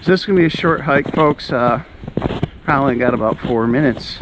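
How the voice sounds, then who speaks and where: A middle-aged man talks casually as the host of a hiking vlog. His voice sits at an ordinary male pitch. It is recorded outdoors on the move, with some ambient noise around him. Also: brisk. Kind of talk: casual chat while walking. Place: outdoors on a snowy woodland trail. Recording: noisy outdoor recording, walking on snowy trail.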